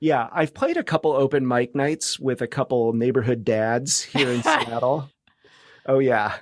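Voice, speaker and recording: A man's voice: mid-range voice, normal speed, no echo, clean studio sound. A man in his forties speaking casually as a podcast guest, in a rambling, self-deprecating way. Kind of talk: casual conversational interview.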